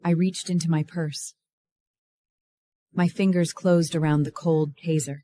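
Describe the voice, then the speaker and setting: A woman's voice: deep voice, fairly even intonation, measured pace, warm tone. A woman giving scripted dramatic narration in an audio drama.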